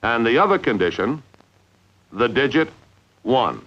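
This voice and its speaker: An old, slightly muffled film soundtrack carries a man's scripted instructional narration in 1950s style. He speaks at a mid-range pitch with animated intonation, measuring out each phrase and stressing key words deliberately.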